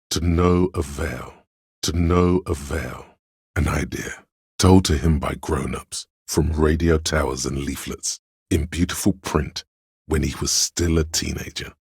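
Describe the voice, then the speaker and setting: A man playing a cerebral, pretentious inner voice of artistic imagination in a game, narrating theatrically and deliberately in a gravelly, husky voice. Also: very deep voice, a little mellow, dry recording, studio quality, heavily acted. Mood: brooding, ominous. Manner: pompous, theatrical, deliberate diction. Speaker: game voice actor. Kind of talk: dramatic scripted game narration.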